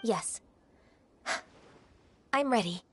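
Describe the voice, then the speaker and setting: Gentle, high-voiced half-immortal maiden. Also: female voice, lively intonation, extremely slow, slight background noise, exaggerated voice. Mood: hesitant resolve. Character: gentle, earnest young half-immortal woman. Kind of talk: video game voice acting.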